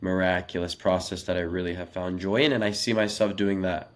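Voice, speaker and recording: A man's voice: sits low, little pitch movement, a little fast. A 21-year-old American man, a native Russian speaker, giving earnest, articulate answers as a podcast guest in a conversational interview. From Los Angeles, United States. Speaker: podcast guest, young startup founder.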